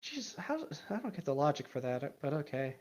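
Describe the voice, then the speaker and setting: A woman's voice: low voice, sing-song, moderate pace, a little mellow. A woman co-hosting a casual comedic fan fiction reading, teasing and swearing sarcastically.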